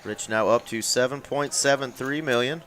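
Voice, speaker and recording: A man's voice: medium pitch, moderate pace, fairly bright tone, slight background noise. A man calling a live poker final table from a commentary booth, with folksy, dry jokes. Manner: folksy, dry joking play-by-play.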